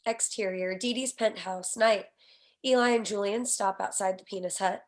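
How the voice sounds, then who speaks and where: A woman serves as narrator for a script read. Her voice is average in pitch, and she delivers scene headings and action lines as scripted narration. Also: moderate pace. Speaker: stage direction reader.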